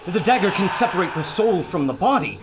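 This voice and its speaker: An actor playing an earnest, learned doctor who makes peace, speaking dramatically in an audio adventure. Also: male voice, sits high, very animated, a little fast, very noisy. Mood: grave. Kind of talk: radio adventure drama acting.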